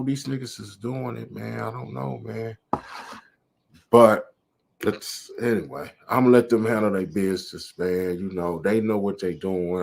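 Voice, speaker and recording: A man with a low, gravelly, husky voice talks very slowly in rambling casual commentary. He drawls through his thoughts and leans on fillers. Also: a little mellow. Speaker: channel host reacting to clips.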